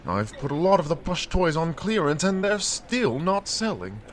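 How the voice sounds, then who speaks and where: An actor playing a fussy, self-important toy store manager in an audio drama. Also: male voice, on the high side, sing-song, normal speed. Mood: worried, reluctant.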